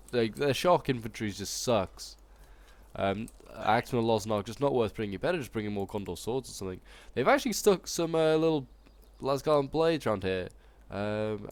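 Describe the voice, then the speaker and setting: A man with a cold, sounding snuffly, casually commentating a battle replay with wry asides and frequent ums. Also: fairly low pitch. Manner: chatty and wry, with frequent ums and self-corrections. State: stuffy with a cold. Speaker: game channel host commenting a battle replay.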